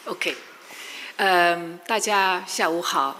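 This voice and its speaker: Earnest Chinese-accented woman, bright voice. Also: on the low side, slow pace, a little hiss or hum. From Shanghai, China. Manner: earnest, searching for words, many fillers. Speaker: artist, panelist. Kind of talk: panel discussion answer. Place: large conference hall. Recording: reverberant conference hall.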